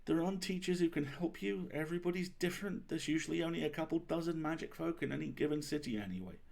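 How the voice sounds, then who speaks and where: A man reading as a young girl baker and wizard, chatty and self-deprecating, in an expressive confiding storybook manner. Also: on the high side, fast talker, creaky, voice pushed up. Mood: wry, chatty.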